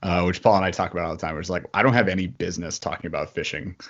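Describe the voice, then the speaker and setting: A man hosting a casual livestream podcast, enthusiastic and quick to laugh, reading out viewer super chats over a call. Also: low-pitched, steady tone, lo-fi sound. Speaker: podcast host.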